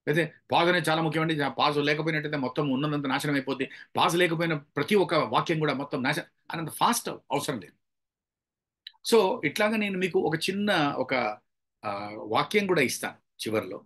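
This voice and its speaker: A man's voice: fairly high pitch, a bit bright. A man giving an instructional public speaking talk, explaining with examples and putting questions to his listeners.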